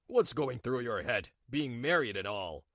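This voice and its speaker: A man voicing a stern, dignified older father in a manga dub, speaking gravely and admonishing. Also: medium pitch, sing-song, unhurried, a bit bright, no room sound, clean studio sound, pitched below the performer's own voice, full character voice. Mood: accusing.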